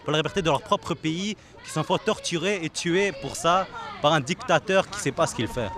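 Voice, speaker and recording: A teenage boy at a street protest, speaking rapidly and passionately. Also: a little high. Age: teens. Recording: outdoor rally with crowd noise.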